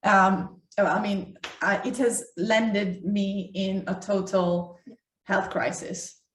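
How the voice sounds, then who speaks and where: Echoey woman, deliberate and emphatic. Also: mid-range voice, fairly even intonation. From Ukraine. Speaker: doctor co-hosting a health talk. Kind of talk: conversational health talk to an online audience. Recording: echoey room, distant mic.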